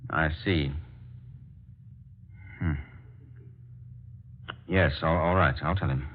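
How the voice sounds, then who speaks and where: A man's voice: rumbling low, takes it slowly, a little hiss or hum. An American man playing a solemn medical board specialist, hesitant and formal, in a 1940s radio drama. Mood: regretful. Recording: dull lo-fi vintage radio.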